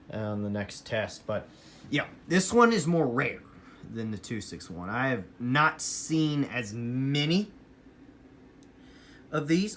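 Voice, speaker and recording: Casual American male hobbyist vlogger, mid-pitched, informal and enthusiastic, rambling delivery, noisy room recording. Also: fairly bright tone. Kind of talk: casual rambling show-and-tell of a radio collection. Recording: noisy room with clear background noise.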